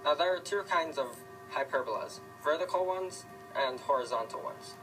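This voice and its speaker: A man's voice: a little high, animated, moderate pace, a bit bright. A man voicing a casual male puppet who recites a maths explanation. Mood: explanatory. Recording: distant mic, music over voice.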